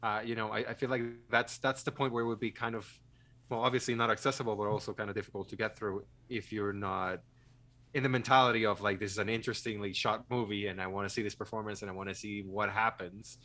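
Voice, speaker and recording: A man's voice: on the low side, steady tone, fast, bright, forceful tone. A man hosting a film podcast in casual conversation, joking and self-deprecating as he rambles through his thoughts. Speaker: podcast host.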